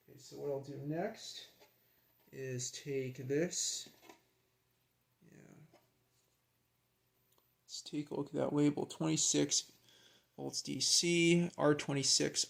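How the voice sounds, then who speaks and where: Guy muttering thru a hands-on hobby vlog, gravelly fry, kinda slow and halting. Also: medium pitch. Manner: halting, muttering to himself while handling parts. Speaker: hobbyist presenter. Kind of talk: casual hands-on vlog narration while working.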